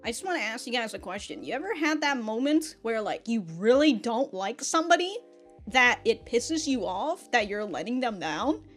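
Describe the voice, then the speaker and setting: A woman's voice: fairly high pitch, very animated, moderate pace, faint music behind. A woman giving a casual episode review as a reaction host, in slangy, sweary talk with mock indignation and frequent laughter.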